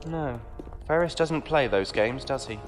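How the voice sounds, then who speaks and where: A man's voice: mid-range voice, unhurried, music in the background, natural voice. A man playing a genteel knight who talks in a courtly, patronizing way with dry wit. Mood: wry. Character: genteel, condescending male knight with a ship.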